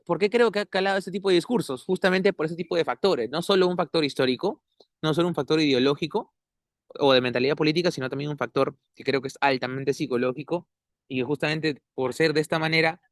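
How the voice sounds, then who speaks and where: A man, a guest giving measured, academic analysis, often ending points with tag questions. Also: fairly high pitch, a bit bright, no echo, clean studio sound. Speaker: interview guest. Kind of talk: analytical conversational commentary.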